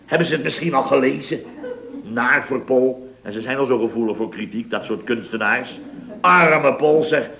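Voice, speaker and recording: A man's voice: medium pitch, normal speed, vocal fry, bright, forceful tone. An actor playing a blustery, exasperated male contractor boss in a radio comedy, speaking emphatically and theatrically and repeating himself. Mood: self-pitying indignation. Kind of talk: 1970s radio comedy acting. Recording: noisy vintage radio recording with clicks.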